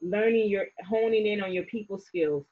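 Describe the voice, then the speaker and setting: A woman hosts a relaxed interview with an upbeat, motivational push in her talk. Her voice is husky and a little breathy, and the recording sounds dull and lo-fi. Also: medium pitch, moderate pace. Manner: lively, encouraging, motivational. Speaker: host. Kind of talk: casual interview chat.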